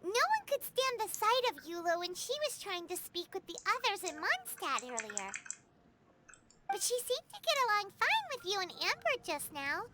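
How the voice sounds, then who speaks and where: A woman voicing a tiny, excitable female fairy companion in a video game, chirpy and curious, with a squeaky, childlike sound. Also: normal speed, very noisy. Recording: game audio under loud music.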